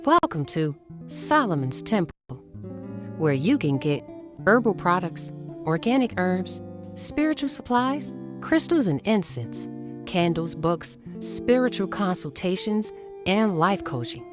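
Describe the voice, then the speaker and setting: A woman's voice: lowish voice, animated, unhurried. A woman reading an advertisement in a welcoming, carefully enunciated sales delivery. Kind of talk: advertisement read. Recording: music behind the voice.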